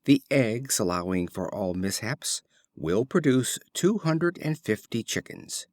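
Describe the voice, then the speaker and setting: A man's voice: mid-range voice, big pitch swings, unhurried, darkish tone, dry recording, studio quality. A man voicing a vain, daydreaming young milkmaid in a storybook fable, dreamy and self-satisfied. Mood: dreamy scheming.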